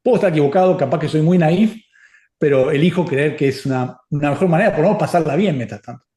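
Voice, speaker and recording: A middle-aged man whose first language is Spanish, answering audience questions in a casual, animated way with many restarts. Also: fairly high pitch, fast talker, echoey room. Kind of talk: casual Q&A talk.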